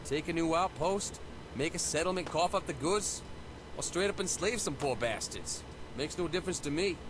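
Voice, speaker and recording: A man's voice: a little high, lively intonation, moderate pace, close to an everyday voice. An actor playing a callous, mercenary raider henchman in a video game, with slangy, offhand delivery. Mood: callous, obliging. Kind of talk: video game character dialogue. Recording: noisy game capture with ambience.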